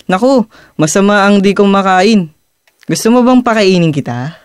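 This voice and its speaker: Young man as earnest, higher-voiced Filipino schoolboy. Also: expressive, normal speed, smooth, dry recording, studio quality. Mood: friendly. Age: young adult. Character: earnest, conflicted teenage schoolboy narrating his own story. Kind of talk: amateur Filipino radio drama.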